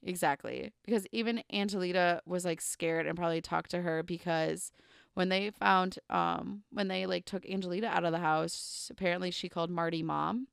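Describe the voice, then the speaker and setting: A woman's voice: lowish voice, steady tone, moderate pace, gravelly, clear, resonant tone, no room sound, clean studio sound. A young woman co-hosting a podcast, casually telling a true crime story with lots of "like" and "um" fillers. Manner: chatty, full of "like" and "um" fillers. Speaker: podcast co-host.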